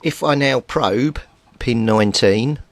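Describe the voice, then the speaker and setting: A middle-aged man narrates hands-on repair work informally, thinking aloud as he goes. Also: slow. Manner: thinks aloud while working. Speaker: hobbyist radio repairer and video maker. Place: indoor cluttered home workbench. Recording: rumbly background noise.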